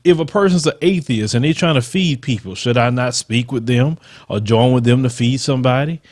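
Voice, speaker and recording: A man's voice: mid-range voice, brisk, a little mellow, dry recording, studio quality. A man with a Southern US accent and a slight lisp, hosting a channel where he reads troll comments aloud and rebuts them in a combative, emphatic way. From Southern United States. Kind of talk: casual commentary.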